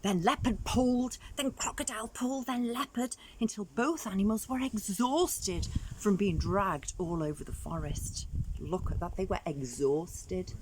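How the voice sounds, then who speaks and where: A woman's voice: medium pitch, a little slow, darkish tone, slight background noise, poor audio. A woman reading a story aloud to young children expressively, adding questioning asides for the listeners.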